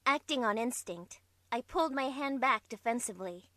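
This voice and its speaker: A woman's voice: fairly high pitch, lively intonation, moderate pace, fairly bright tone, dry recording. A woman playing a bewildered young heroine, narrating her own story in an amateur visual novel dub. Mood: introspective. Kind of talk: first-person visual novel narration, fandub.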